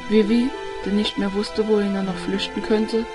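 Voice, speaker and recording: A woman narrates an audio drama from a script. Her mid-pitched voice stays very even, and loud music plays beneath it. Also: normal speed.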